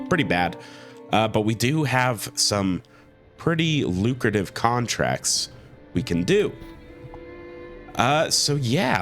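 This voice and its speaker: A man's voice: on the low side, fairly bright tone. A man hosting a strategy game playthrough, sick with a cold and talking in an easygoing way full of fillers. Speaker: let's play host. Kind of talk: gameplay commentary.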